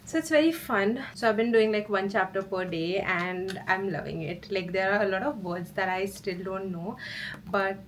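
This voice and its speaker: A woman's voice: mid-range voice, normal speed, slight background noise. A woman chatting casually and informally as a lifestyle vlogger, often dropping "like" into her sentences. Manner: informal, chatty, frequent "like" as filler. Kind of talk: casual vlog chat.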